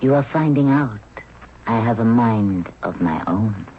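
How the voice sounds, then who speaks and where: A proud young queen of ancient Egypt, commands and expects obedience. Her pitch swings widely with haughty authority. It is old radio drama, with a dull sound and faint background noise. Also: lively intonation, measured pace, heavily acted. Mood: cool, teasing. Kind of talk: 1970s radio drama acting. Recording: dull, noisy old radio broadcast recording.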